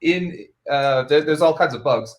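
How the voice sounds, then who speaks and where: A man's voice: fairly high pitch, measured pace. A man hosting a casual tech podcast, rambling and laughing often between phrases. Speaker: podcast host. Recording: roomy echo.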